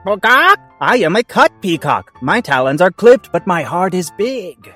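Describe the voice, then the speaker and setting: A man voicing a plaintive, big-hearted peacock with a loud squawking delivery in a comic audio play. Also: high-pitched, sing-song, a little slow, faint music behind, voice pushed up, exaggerated voice. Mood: earnest, plaintive.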